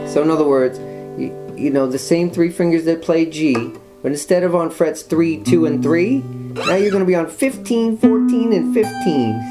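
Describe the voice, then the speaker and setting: A man giving a guitar lesson, patiently walking students through chords step by step. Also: fairly high pitch. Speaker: guitar teacher. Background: guitar playing.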